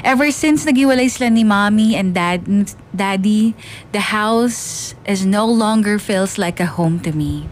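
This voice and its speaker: A woman's voice: medium pitch, a little slow, slight background noise, natural voice. A woman playing a hurt, resentful youngster narrating a confessional radio drama, with a Filipino accent. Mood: resentful, reflective. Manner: plaintive, reflective. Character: hurt, resentful child telling of parents' separation.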